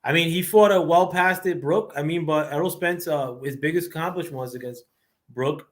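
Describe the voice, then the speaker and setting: Casting: man with a squeaky, slightly high voice, livestream host, rambling profane rant, heavy on fillers. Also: moderate pace. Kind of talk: casual boxing rant.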